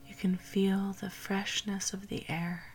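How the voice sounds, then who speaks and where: A woman guiding a meditation in a soft, partly whispered voice. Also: medium pitch, little pitch movement, a little slow. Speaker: meditation guide. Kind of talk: guided meditation.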